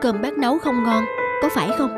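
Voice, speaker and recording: A woman's voice: on the high side, brisk, lightly acted. A woman voicing a big, motherly, sensitive female school cook in a cartoon. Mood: hurt. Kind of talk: cartoon voice acting. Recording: music behind the voice.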